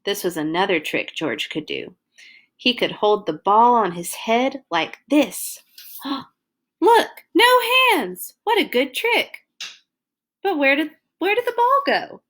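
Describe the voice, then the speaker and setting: Woman reading a kids picture book out loud, super expressive, swoopy pitch, takes it slow. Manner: animated, exaggerated emphasis for young children. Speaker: children's storyteller and host of a read-along. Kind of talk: expressive read-aloud of a children's picture book.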